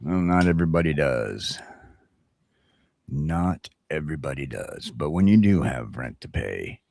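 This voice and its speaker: A man's voice: very deep voice, expressive, unhurried, vocal fry, a little mellow, no echo. A man in casual chat as a talk show host, blunt and opinionated, swearing and often stammering over repeated words.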